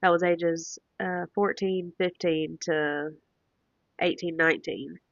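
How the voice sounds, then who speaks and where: A woman in her late twenties with a deep, raspy voice, sick and congested, chatting casually as a podcast co-host who thinks aloud. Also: steady tone, takes it slowly, dry recording, lo-fi sound. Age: late 20s. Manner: chatty, thinks aloud while working things out. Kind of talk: casual chat. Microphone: close podcast mic.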